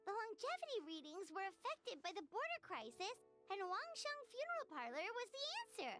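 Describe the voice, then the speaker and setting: A woman voicing a small, childlike, chirpy fairy companion in a video game, squeaky, eager and inquisitive. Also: sing-song, a little fast, pushed and bright, faint music behind, heavily acted. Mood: surprised realization. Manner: exclamatory, eager, refers to self in third person. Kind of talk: animated video game dialogue acting.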